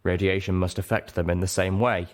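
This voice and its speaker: A man reading fiction aloud as a dramatic first-person narrator, measured and expressive. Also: low-pitched. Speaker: audiobook narrator.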